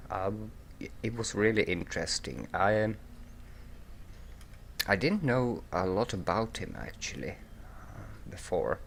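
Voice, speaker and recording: A man's voice: low-pitched, fairly bright tone, slight background noise. A man with a groggy morning voice hosting a game playthrough, rambling with many ums and restarts. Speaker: let's play host. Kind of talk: casual game commentary.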